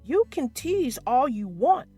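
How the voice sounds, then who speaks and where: A woman voicing a small, timid young male engine in a storybook, with a breathy, wheezy voice. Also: high-pitched, sing-song, slow, dark tone, faint music behind, heavily acted. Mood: earnest.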